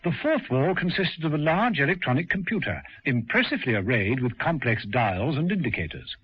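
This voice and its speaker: A man narrating a radio drama in a measured, storytelling way. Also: on the high side, sing-song, breathy edge. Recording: dull vintage radio recording with music.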